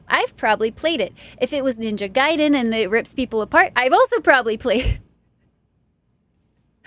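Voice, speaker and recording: A young woman from Texas talks to her stream chat while she plays, her voice sitting a little high. She rambles casually, pokes fun at herself and laughs often. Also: a bit bright. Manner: chatty, self-deprecating, laughs often.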